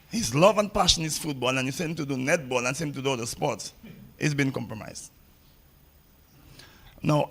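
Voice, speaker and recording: A man's voice: fairly high pitch, gravelly. A man giving a public ceremony speech as a table tennis coach, blunt and repeating phrases for emphasis.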